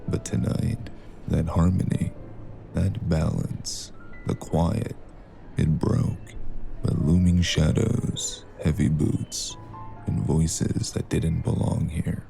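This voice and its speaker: A man playing a brooding noir storyteller, narrating an audio drama deliberately. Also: rumbling low, flat delivery, vocal fry, dark tone, faint music behind, heavily acted. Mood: ominous.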